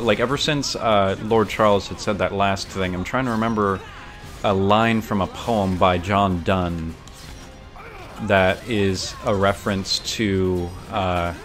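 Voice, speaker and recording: Low-voiced, rambling man streaming a game. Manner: rambling, self-correcting, bookish. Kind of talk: casual gameplay commentary.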